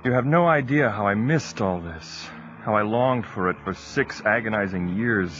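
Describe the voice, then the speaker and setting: A man's voice: on the low side, animated, moderate pace, fairly bright tone, lo-fi sound, soft background music. A man playing a courteous, earnest young seaman of the early 1800s in an audio drama, slightly formal and fond. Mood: earnest, tender. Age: young adult.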